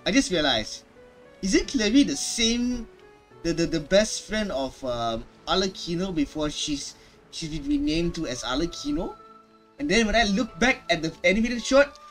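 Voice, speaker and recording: A man's voice: sits high, sing-song, moderate pace, fairly bright tone, poor audio. Guy streamer reacting to a game, dramatic, real up-and-down voice, repeats himself, faint music behind. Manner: dramatic, repeats phrases for emphasis. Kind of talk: live reaction commentary.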